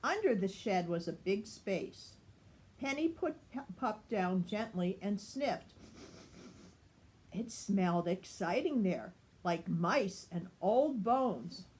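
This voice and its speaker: An older woman reading a children's story aloud in an expressive, grandmotherly style. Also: medium pitch, takes it slowly.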